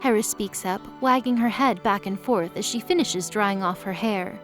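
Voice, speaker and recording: A woman's voice: mid-range voice, moderate pace, faint music behind. A woman reading scripted story narration as the narrator of an audio drama.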